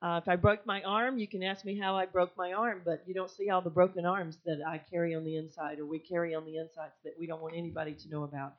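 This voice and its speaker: An older woman addresses a congregation as a guest speaker. She is friendly and chatty, with fillers and restarts, in a middle-pitched voice. The sound is dull and lo-fi, and at times she is off-mic. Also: flat delivery, fast talker, hardly any pauses. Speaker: guest speaker, ministry workshop leader. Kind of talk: sermon-style talk to a congregation. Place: church sanctuary.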